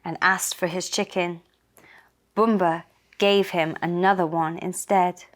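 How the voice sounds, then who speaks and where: A woman storyteller narrating a scripted children's tale in a simple, deliberate manner for small children. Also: on the low side, fairly even intonation. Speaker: puppet show storyteller.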